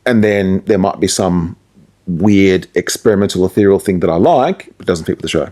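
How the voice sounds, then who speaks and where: Low-voiced middle-aged Australian man. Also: moderate pace, a little mellow. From Perth, Australia. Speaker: radio presenter and DJ being interviewed. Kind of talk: casual interview talk.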